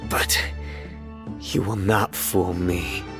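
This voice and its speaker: A young judge-warrior from a game, stern and unbending. The voice is low, grave and terse, with prominent music behind it. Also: male voice, flat delivery, extremely slow. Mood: stern accusation. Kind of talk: dramatic video game voice acting.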